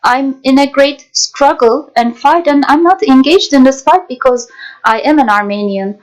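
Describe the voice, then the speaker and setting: A woman narrator and interpreter reading scripted documentary voice-over in a measured, formal way. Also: high-pitched, low-quality recording.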